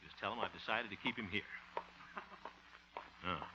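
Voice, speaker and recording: An actor playing the fussy, easily exasperated lead of a 1950s radio sitcom, who chuckles often. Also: male voice, lowish voice, normal speed, close to an everyday voice. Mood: earnest. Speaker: lead of the show, radio host character. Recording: muffled hissy 1950 radio transcription.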